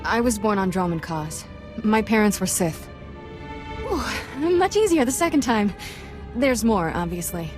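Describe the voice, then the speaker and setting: A young female Jedi apprentice played by an actress in a game cutscene. She sounds mid-pitched, wry and earnest, with music clearly behind her. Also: lively intonation, unhurried, lightly acted. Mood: wry confession. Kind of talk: dramatic video game acting.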